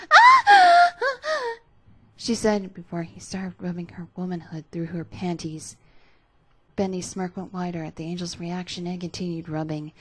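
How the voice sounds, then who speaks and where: A woman's voice: fairly low pitch, mellow. A woman narrating scripted fan fiction as a dramatic reading.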